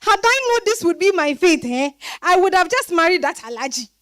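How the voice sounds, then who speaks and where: A woman's voice: extremely high pitch, very animated, a little fast, no echo. A woman with a Ghanaian accent playing a bitter, wronged wife lamenting in exclamations in a dramatized stage reading. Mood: aggrieved, despairing. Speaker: stage reader playing a character.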